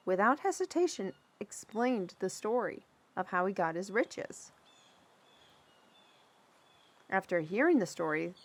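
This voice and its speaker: A woman playing a chatty, amused storyteller in an audio roleplay, telling royal lore conversationally with wry, dramatic asides. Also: medium pitch. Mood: storytelling.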